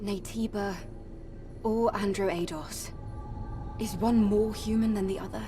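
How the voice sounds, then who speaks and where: A woman's voice: medium pitch, fairly even intonation, measured pace, over music, natural voice. Resolute young warrior woman, slightly distant, acted. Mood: solemn, resolute. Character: resolute young female warrior, earnest and solemn. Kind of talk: dramatic video game acting.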